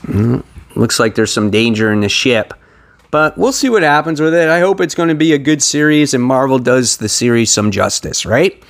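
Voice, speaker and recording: A man's voice: medium pitch, expressive, moderate pace. A man hosting a comic book haul video, talking in an informal, enthusiastic fan's manner as he shows things to viewers. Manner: enthusiastic, informal fan talk. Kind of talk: casual show-and-tell commentary to viewers.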